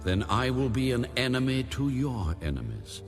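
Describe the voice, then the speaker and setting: A man narrating scripture in a solemn, measured, authoritative way, like an audio Bible. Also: medium pitch, big pitch swings. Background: background music.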